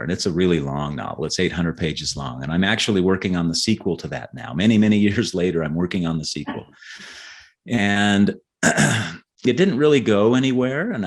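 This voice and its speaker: Male, middle-aged, low register. Interviewed author recounting his path, conversational, pausing and doubling back on words. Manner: halting, repeats words as he thinks. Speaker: guest novelist. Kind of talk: conversational interview answers, storytelling.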